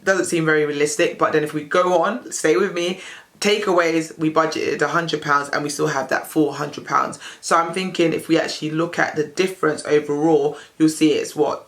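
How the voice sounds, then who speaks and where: A British woman with a husky, recovering voice, chatting upbeat through a household budget walkthrough. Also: deep voice. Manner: chatty, upbeat, strings thoughts with "so". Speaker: personal finance video creator. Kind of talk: casual budget walkthrough to camera.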